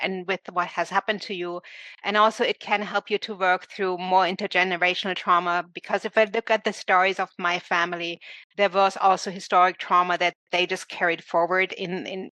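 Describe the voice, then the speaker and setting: A woman's voice: medium pitch, bright, forceful tone, no echo, poor audio. A woman, a podcast guest reflecting on her own story and searching for words as she goes. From Germany. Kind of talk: personal conversational storytelling.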